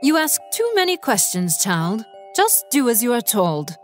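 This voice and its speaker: A woman voicing a kindly, brisk old fairy godmother in a children's cartoon, motherly and gently bossy. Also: a little high, sing-song, soft background music.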